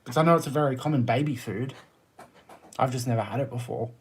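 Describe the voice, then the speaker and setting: A man's voice: medium pitch, fast talker, a little hiss or hum. A man with an Australian accent chatting casually on a livestream, answering viewer comments in a blunt, exasperated, emphatic way. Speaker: live streamer.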